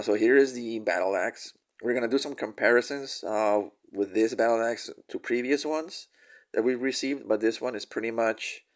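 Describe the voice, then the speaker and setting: Nasal man recovering from a cold. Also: lowish voice. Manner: halting, many fillers and restarts. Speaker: toy reviewer. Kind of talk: casual product review.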